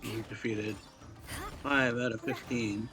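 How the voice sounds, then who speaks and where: A man giving casual, low-key let's play commentary, unhurried and muttering as if to himself while he plays. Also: fairly even intonation. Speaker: gaming streamer.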